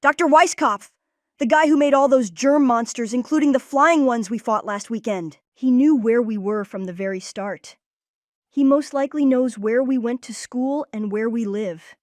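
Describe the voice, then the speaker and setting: A woman's voice: on the high side, normal speed, no echo, studio quality, lightly acted. A woman voicing an earnest child leader who takes charge, in a cartoon-style comic dub. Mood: serious, explaining. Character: earnest kid leader, Max, organizing friends. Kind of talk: comic dub character acting.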